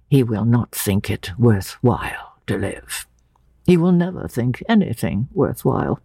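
A performer voicing a frail, gentle old man who speaks haltingly and in anguish, as in a dramatic audiobook. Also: medium pitch, creaky, breathy edge, no room sound, studio quality, pitched above the performer's own voice, full character voice. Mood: wistful, distracted. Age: older. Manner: halting, drawn-out, anguished delivery. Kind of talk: dramatic audiobook character reading.

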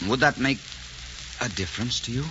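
A man's voice: mid-range voice, a little fast, sharp tone, natural voice. An actor playing a proud, gallant, romantic Frenchman in theatrical 1940s radio style. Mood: cool, wounded. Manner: theatrical, impassioned. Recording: noisy, muffled 1940s radio recording.

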